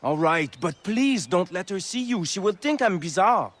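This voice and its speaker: An actor voicing a nervous, lovestruck male trapper, fretful and excitable. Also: sits high, normal speed.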